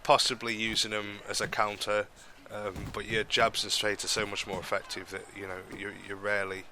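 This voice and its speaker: A man's voice: on the low side, monotone, a little fast, noisy background. A young man with a stuffy, nasal voice from a cold, giving rambling commentary on a boxing video game. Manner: rambling, many ums and restarts. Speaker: gaming commentator. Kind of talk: casual gameplay commentary.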